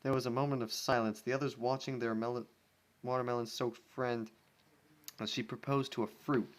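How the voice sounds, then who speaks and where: A man's voice: medium pitch, level tone, fairly quick. Exasperated man reading fan fiction. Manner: exasperated, sarcastic. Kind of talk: casual story reading with ranting asides.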